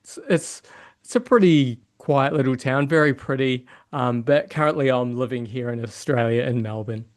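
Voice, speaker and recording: Halting New Zealand man in casual chat. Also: mid-range voice. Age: middle-aged. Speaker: podcast guest, software developer and yoga teacher.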